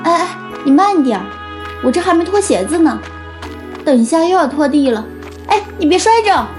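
A woman acting a hardworking, tired teenage older sister in an audio drama, gentle and earnest. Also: high voice, big pitch swings, moderate pace, over music. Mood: fond, fussing.

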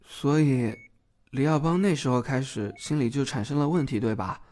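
A man's voice: medium pitch, normal speed, a little mellow, natural voice. A man acting a thoughtful, questioning colleague of an investigator in a Chinese audio drama. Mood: reflective.

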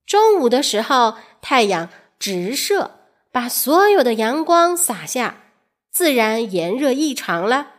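A woman storyteller reading scripted educational narration for children, speaking directly to young listeners in a teacherly, questioning manner. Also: fairly high pitch. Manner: addresses young listeners directly with rhetorical questions and soft sentence particles.

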